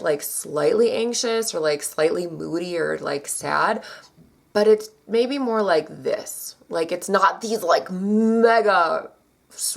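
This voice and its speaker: Young woman vlogging, low gravelly voice, kinda scratchy from allergies, chatty w lots of likes and ums. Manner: chatty, many fillers, animated pitch jumps. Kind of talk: casual chat to camera.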